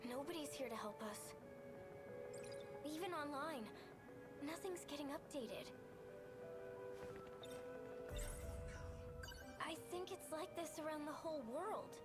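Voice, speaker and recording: A woman's voice: high-pitched, expressive, normal speed, long pauses, loud music behind the voice. A woman playing a shaken teenage girl streamer trying to stay strong, in an anime video game dub. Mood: bleak, subdued.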